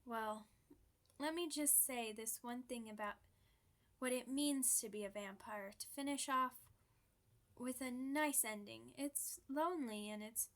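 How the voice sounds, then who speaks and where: A woman's voice: fairly high pitch, a little slow, lightly acted. A woman voicing a polite, chatty, proud old female vampire being interviewed, speaking in genteel, slightly formal phrases for an ASMR roleplay. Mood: reflective, gentle.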